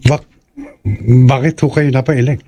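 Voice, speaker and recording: An actor playing a frightened young man in a horror audio drama, speaking in a husky voice. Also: mid-range voice, fairly even intonation, measured pace, dark tone, lightly acted. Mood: uneasy.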